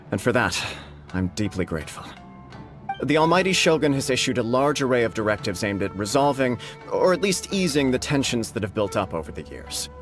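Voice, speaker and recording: A man's voice: mid-range voice, lightly acted. A man voicing a composed noble official who speaks in a polished, formal and carefully persuasive way, in a game cutscene. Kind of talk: video game cutscene dialogue.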